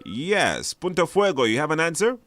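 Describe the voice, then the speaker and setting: A man's voice: on the high side, big pitch swings, normal speed, fairly bright tone, no room sound. A man hosting a live radio phone-in, chatty and informal, often repeating his phrases. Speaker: radio show host. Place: radio studio.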